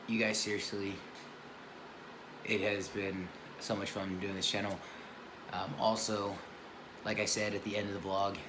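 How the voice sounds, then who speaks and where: Male car vlogger, hoarse and sore-throated, low-pitched, laid-back rambling delivery, noisy background. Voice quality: raspy. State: sore, hurting voice. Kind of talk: casual vlog to camera. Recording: clear background noise.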